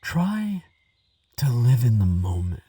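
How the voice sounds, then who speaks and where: A man playing a nervous, kindly, chatty researcher in an audio roleplay, hesitant and gentle, rambling with small stammers. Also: mid-range voice, big pitch swings, slow pace, breathy edge, no echo, clean studio sound, distant mic, exaggerated voice. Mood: sympathetic, reassuring. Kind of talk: audio roleplay monologue addressed to the listener.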